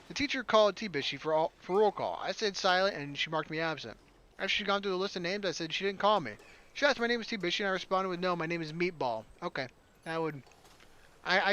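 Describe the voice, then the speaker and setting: High-pitched, bright-voiced male let's player. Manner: jokey, sarcastic asides. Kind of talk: let's play commentary with read-aloud narration.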